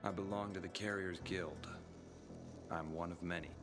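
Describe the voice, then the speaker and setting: A man as a formal, very deep guild messenger. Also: steady tone, normal speed, close to an everyday voice. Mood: reserved. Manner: formal, reserved, precise. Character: discreet male guild messenger, formal and reserved. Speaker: guild carrier. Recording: game cutscene with music bed.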